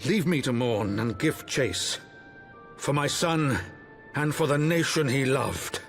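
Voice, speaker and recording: A proud, grieving knightly father from a fantasy game, declaims slowly in a gravelly voice. His noble, anguished phrases are drawn out and emotional over music. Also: mid-range voice, expressive. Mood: anguished, resolute. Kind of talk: theatrical fantasy game voice acting. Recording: music underneath.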